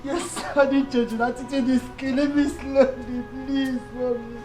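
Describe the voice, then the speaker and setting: An actress playing a wounded, submissive young Nigerian daughter-in-law in a melodramatic film, plaintive and pleading. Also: female voice, sits high, flat delivery, moderate pace, close to an everyday voice, low-quality recording. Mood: tearful pleading. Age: young adult. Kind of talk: melodramatic film drama acting. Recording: music bed behind dialogue.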